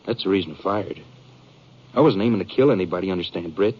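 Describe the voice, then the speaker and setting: A muffled old radio drama recording presents a frightened American ranch man on the run. His voice is on the low side, rustic and halting, and he sounds earnest and aggrieved in classic western style. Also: husky. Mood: scared, confiding. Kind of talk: 1950s radio drama acting.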